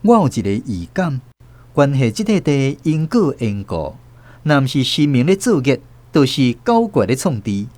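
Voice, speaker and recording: A man's voice: mid-range voice, sing-song, moderate pace, a little mellow, no echo. A man with a Taiwanese accent narrating a first-person story dramatically for a radio drama.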